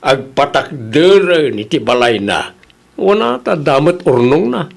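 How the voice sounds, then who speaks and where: A man's voice: a little high, very animated, slow pace, gravelly, full character voice. A man acting a resigned, admonishing middle-aged father with a Filipino accent in a family audio drama. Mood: resigned, scolding. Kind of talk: Ilocano radio-style family drama acting.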